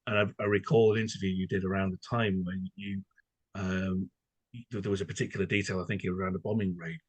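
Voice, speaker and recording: A man hosting a conversational interview, courteous and hesitant, often halting mid-phrase. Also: low-pitched, level tone, breathy edge, no room sound, lo-fi sound. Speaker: podcast interviewer.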